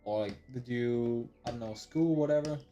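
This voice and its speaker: A man's voice: medium pitch, moderate pace, warm tone, highs cut off, soft background music. A man in his early twenties talking casually on a live stream, slangy and rambling, with plenty of swearing and "bro". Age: 20s. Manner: slangy, swears often, says "bro" a lot; rambling with restarts, replays his past thoughts aloud. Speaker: day trader live-streaming.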